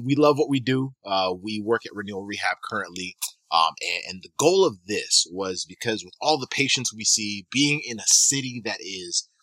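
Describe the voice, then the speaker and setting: A man's voice: lowish voice, pushed and bright, no echo, low-quality recording. A man from Kenya hosting a casual podcast as a physical therapist, talking loosely and eagerly with many restarts.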